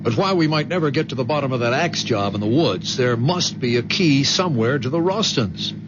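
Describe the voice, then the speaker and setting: A man's voice: medium pitch, lively intonation, fairly quick, close to an everyday voice. An actor playing an exasperated, wary, sardonic man in a radio drama, heard on a muffled and noisy old recording. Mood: wary, brooding. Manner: sardonic, insistent. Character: exasperated, wary, skeptical husband or boyfriend. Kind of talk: vintage-style radio drama acting.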